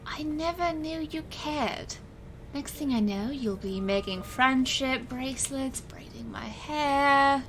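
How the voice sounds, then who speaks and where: A woman acts out a supernatural enforcer and illusionist for audio fiction. Her voice sits a little high and moves slowly and deliberately. She sounds weary, resolute and wryly dramatic. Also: a bit bright, slight background noise, full character voice. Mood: wry teasing.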